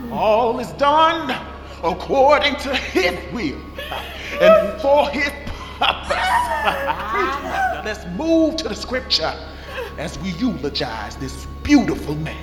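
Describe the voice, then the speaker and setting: A woman playing a fervent preacher delivering a rhythmic, theatrical funeral sermon with drawn-out, emphatic phrases. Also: a little high, huge pitch swings, heavily acted. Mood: solemn, mournful. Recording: echoey hall reverb with music behind.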